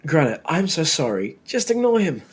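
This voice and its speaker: Woman playing a gentle guy with lively pitch. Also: moderate pace, husky, heavily acted. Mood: apologetic. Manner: casual, soft-hearted, conciliatory. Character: gentle, apologetic young male friend in a fantasy school.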